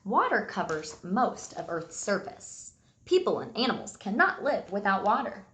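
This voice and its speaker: A woman teacher reading aloud and giving a simple lesson to young children, enunciating key words deliberately. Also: mid-range voice, sing-song, fairly bright tone. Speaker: early elementary school teacher. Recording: echoey room sound.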